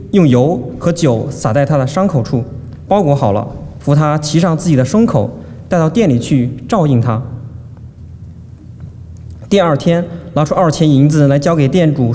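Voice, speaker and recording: Scripture reader, male, steady deliberate delivery, warm tone, long hall echo. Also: fairly high pitch. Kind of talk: reading scripture aloud. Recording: long hall reverb, faint room noise.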